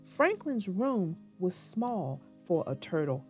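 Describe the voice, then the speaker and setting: Slow, animated woman storyteller. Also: fairly low pitch. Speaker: host and storybook narrator. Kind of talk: children's read-aloud narration. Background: music, plucked guitar.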